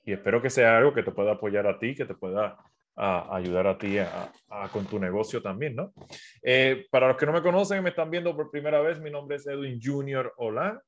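A Puerto Rican man with an accent blended by years in South America, coaching his audience on a live stream. He talks to them directly and casually in a middle-pitched voice. His sentences are full of fillers and restarts. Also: expressive, fairly quick. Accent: Puerto Rican Spanish with a South American mix. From Puerto Rico. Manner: conversational, many fillers and restarts, addresses viewers directly. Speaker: entrepreneur and business coach. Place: at home, phone on a tripod.

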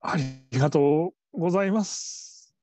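A man's voice: on the high side, measured pace, a little mellow, no room sound, lightly acted. A man playing a timid, apologetic middle-aged call-centre trainee in a radio drama, speaking hesitantly and humbly. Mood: humble, subdued.

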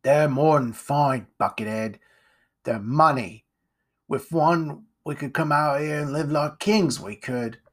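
A man doing a sly, scheming poor mother. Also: takes it slowly. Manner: low, conspiratorial, common speech.

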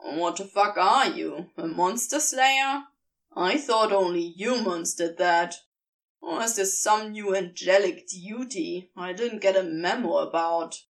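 A woman doing a sardonic male demon, pitched low and pushed harder, with a sneering, prickly bite. He speaks slowly against a distant-sounding mic, a storybook demon always on the defensive. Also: animated, gravelly, a bit bright, heavily acted. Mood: snide. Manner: snide, sneering.

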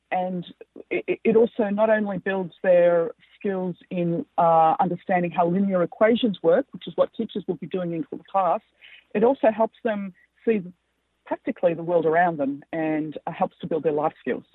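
A woman with an Australian accent, a company founder answering interview questions, speaking haltingly with many fillers. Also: on the low side, no echo. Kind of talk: interview over the phone. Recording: tinny dry phone line.

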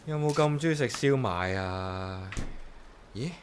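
A man's voice: mid-range voice, animated, slow, slight background noise. An actor playing an easygoing man who lives alone, speaking in a casual, wry manner in a radio drama. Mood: lazy, grumbling. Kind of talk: Cantonese radio drama acting and narration.

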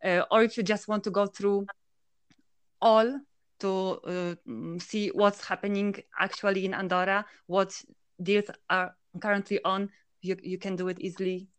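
Interview guest: woman from Poland, crisp clear tone, hesitant and filler-heavy. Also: medium pitch, steady tone, normal speed, dry recording. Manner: hesitant, many fillers and restarts. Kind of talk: conversational interview answers.

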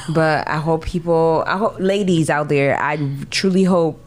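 A woman from Ghana hosting a casual podcast chat, telling stories with lively quoted voices and frequent laughter. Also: low voice, a little slow. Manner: animated storytelling, acts out quoted voices, laughs often. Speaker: podcast host.

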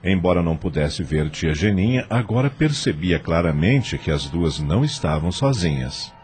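Deep, animated male radio narrator. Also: moderate pace. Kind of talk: dramatic scripted narration for a radio soap. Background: music.

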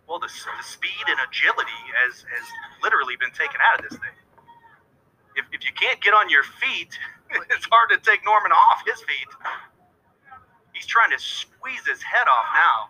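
A man calls a wrestling match play-by-play in a bright, forceful voice that climbs high and swings widely as the action builds. His delivery is excitable, rising toward shouts on big moves. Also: normal speed, slightly rough, poor audio. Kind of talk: wrestling commentary.

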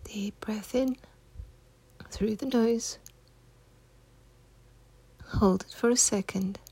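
Breathy, creaky woman guiding meditation. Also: mid-range voice, steady tone. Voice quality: soft, breathy, husky, near-whispered. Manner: gentle, unhurried, soothing instruction. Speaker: meditation guide. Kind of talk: guided meditation.

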